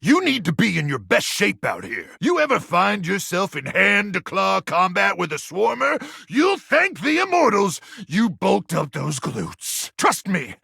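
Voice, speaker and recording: An actor playing a cocky, goofy post-apocalyptic soldier in a video game, with a gravelly, raspy voice, bombastic bravado and deadpan asides. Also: male voice, sits high, a little slow, few pauses, no echo, clean studio sound, heavily acted. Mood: boastful. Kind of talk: exaggerated video game character acting.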